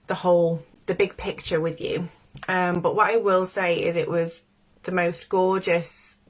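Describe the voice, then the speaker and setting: A woman hosting a casual livestream with a stuffy, congested voice from a cold, chatty and meandering, often breaking into laughs. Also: lowish voice. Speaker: livestream host, spiritual coach.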